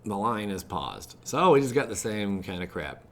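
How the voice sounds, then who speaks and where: Low-pitched American man, rambling casually. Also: big pitch swings, moderate pace, fairly bright tone. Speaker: gaming channel host. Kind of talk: casual livestream chat, reacting to web pages.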